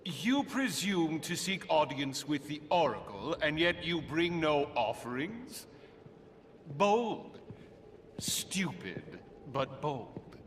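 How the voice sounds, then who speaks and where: An actor playing a haughty, cruel master who guards an oracle in a video game, imperious and scornful in a theatrical way. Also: male voice, a little high, very animated, slow pace, a little hiss or hum. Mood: sneering, amused. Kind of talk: video game villain acting. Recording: clear music behind the voice.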